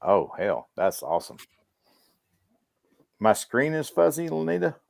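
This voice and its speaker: A man's voice: mid-range voice, sing-song, a little slow, creaky, no echo, clean studio sound. A man co-hosting a livestream, chatting casually and using plenty of slang. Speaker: podcast co-host. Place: home in the countryside, on a video call.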